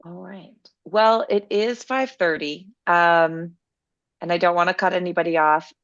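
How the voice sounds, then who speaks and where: A slightly low-voiced woman speaks through a muffled, lo-fi video call. She is a bit hoarse from a cold and talks casually, with lots of "like" and "um", as she moderates a community group meeting. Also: measured pace. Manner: chatty, lots of "like" and "um".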